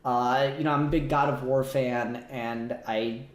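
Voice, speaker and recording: A man's voice: mid-range voice, steady tone, a little slow. Casual man talking in an echoey room. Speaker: podcast host. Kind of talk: casual critical discussion. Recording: roomy, echoey home recording.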